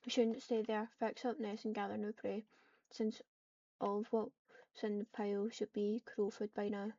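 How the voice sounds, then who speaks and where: Subdued, flat-toned woman on a distant mic. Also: medium pitch, normal speed. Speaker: online roleplayer. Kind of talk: reading typed roleplay lines aloud while gaming. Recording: muffled, distant mic with keyboard typing.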